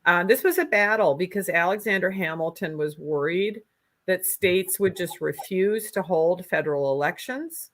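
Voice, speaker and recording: A woman with a strong Minnesota accent, a political candidate speaking earnestly in an interview, pausing often with ums and restarts. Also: lowish voice, a bit bright. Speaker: candidate for Secretary of State.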